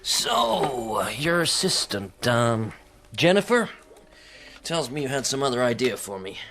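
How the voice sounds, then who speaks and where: An actor playing a smooth-talking, flirtatious male investor in a radio drama, familiar and coaxing. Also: mid-range voice, very animated, measured pace, a bit bright. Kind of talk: BBC radio drama acting.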